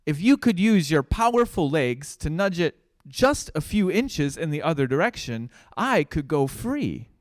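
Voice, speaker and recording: Storybook mouse, male, small and pleading, put on by a male reader; high and dry. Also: big pitch swings, a little slow, hardly any pauses, dry recording.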